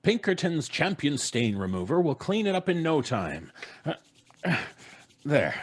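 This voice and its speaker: Blustery radio-play father, very animated. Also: fairly high pitch, unhurried, husky, full character voice. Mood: blustery, confident. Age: middle-aged. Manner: blustery, dismissive, chuckling. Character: brisk, sceptical, good-natured American father. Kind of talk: old-time radio drama acting. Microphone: studio mic at home, video call.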